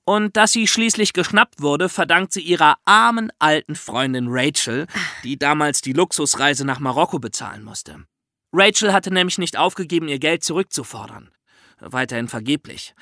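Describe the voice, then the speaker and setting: A German man with a fairly high, bright voice narrates true crime in a conversational way. His intonation is very lively and carries a dry irony, captured in a close, dry sound. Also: normal speed, no echo. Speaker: podcast host.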